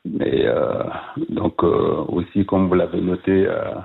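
A man in his fifties from Burkina Faso, a guest speaking by phone, hesitant with many fillers and soft laughs. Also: low-pitched, takes it slowly, creaky, husky. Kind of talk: phone interview. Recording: tinny phone line.

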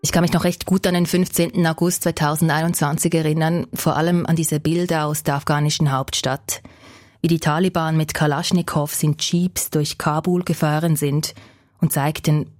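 A woman hosting a news podcast, reading a scripted narration in a calm, measured way. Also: low voice, no room sound, studio quality. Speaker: podcast host.

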